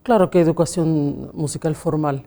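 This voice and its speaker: A woman's voice: low voice, fairly even intonation, moderate pace, dark tone. A woman with a Russian accent, a pianist and music teacher, answering interview questions in a reflective way with small slips and questioning tags. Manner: reflective, often ending with a questioning tag, small grammar slips.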